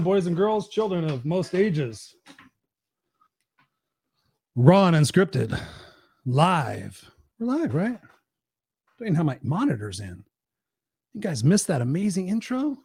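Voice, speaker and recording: A man with a hoarse voice, hosting a live-stream show as a life coach, talking in an energetic, profane, rambling way. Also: a little high, no echo, studio quality. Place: home.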